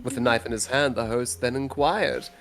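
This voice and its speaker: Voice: mid-range voice, fairly even intonation, moderate pace, creaky, fairly bright tone, faint music behind. A theatrical, mock-pedantic speaker mixing dramatic reading aloud with joking banter.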